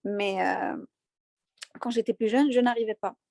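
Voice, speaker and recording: A woman's voice: on the high side, fairly even intonation, vocal fry, darkish tone, no room sound, studio quality. Earnest young woman, faint Moroccan accent. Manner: earnest, halting with many fillers and repeated words. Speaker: interviewee. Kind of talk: conversational interview.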